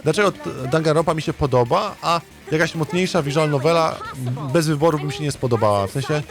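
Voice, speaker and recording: A man's voice: fairly high pitch, fast talker. A Polish man giving casual, rambling game commentary and chatting with viewers in blunt, sweary language. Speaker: gaming streamer playing a visual novel. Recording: game music behind the voice.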